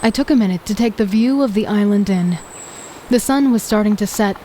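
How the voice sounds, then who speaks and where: A woman's voice: medium pitch, a little fast, dark tone, a little hiss or hum. Dry, commanding woman narrator. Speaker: narrator and lead character. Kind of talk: first-person audio drama narration. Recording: background music.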